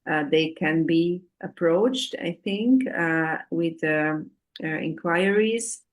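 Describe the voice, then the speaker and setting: A woman, answering audience questions in a halting way, full of ums and uhs, over a video call. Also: low voice. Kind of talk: hesitant Q&A answers, many fillers.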